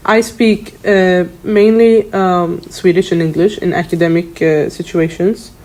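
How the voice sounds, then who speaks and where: Mellow, thoughtful woman teacher. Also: fairly low pitch, fairly even intonation, a little slow, slight background noise. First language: Arabic. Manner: thoughtful, with hesitant fillers. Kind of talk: casual discussion.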